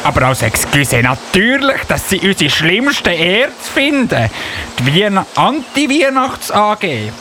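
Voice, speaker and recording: A man's voice: high voice, big pitch swings, unhurried. A man with a Swiss accent playing a fussy, self-important elf policeman in a radio play, indignant and officious. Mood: earnest, alarmed. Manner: indignant, officious, with repeated verbal tic. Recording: noticeable buzzy background noise.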